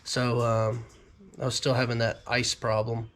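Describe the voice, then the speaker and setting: A man's voice: mid-range voice, flat delivery, unhurried, fairly bright tone. Nasal man getting over a cold. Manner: rambling, frequent ums and restarts. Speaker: fishing vlogger. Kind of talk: casual talk to camera.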